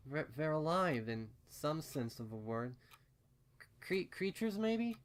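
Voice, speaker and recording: A man voicing an earnest, anxious female pony in a storybook reading, with halting, stammering, insistent delivery. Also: fairly high pitch, sing-song, clear, resonant tone. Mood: hesitant, searching. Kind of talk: acted storybook dialogue in a fan fiction reading.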